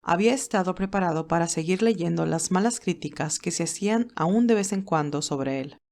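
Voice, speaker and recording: A woman's voice: lowish voice, a little mellow. A woman narrating a scripted story as an audiobook-style storyteller.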